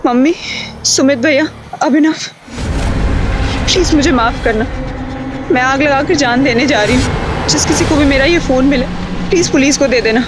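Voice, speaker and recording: A woman's voice: high-pitched, moderate pace, pauses often, background noise, lightly acted. A woman playing a desperate young woman. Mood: despairing. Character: desperate young woman recording a farewell video.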